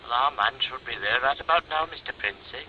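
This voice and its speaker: A calm police sergeant on the phone. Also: male voice, mid-range voice, brisk, a little hiss or hum. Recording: muffled old radio recording.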